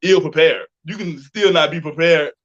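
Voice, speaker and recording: Higher-voiced male interviewer, dull lo-fi sound. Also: normal speed. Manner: rambling, roundabout questions, informal. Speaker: interview host. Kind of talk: casual conversational interviewing.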